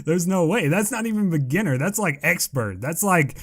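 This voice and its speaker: Young male gaming vlogger, deep voice, loose and rambling delivery. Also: animated, moderate pace, fairly bright tone, husky. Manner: rambling, heavy with fillers and "I guess you could say". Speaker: gaming YouTuber answering viewer questions. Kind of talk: casual Q&A chat.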